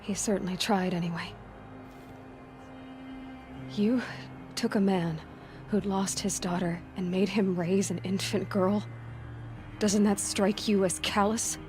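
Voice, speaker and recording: A young outcast huntress played by a woman speaks at a middling pitch, intent and searching, in video game drama. Her delivery is direct and earnest, with music clearly playing underneath. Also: steady tone, slow, long pauses, lightly acted. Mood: hurt, challenging. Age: young adult. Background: background music.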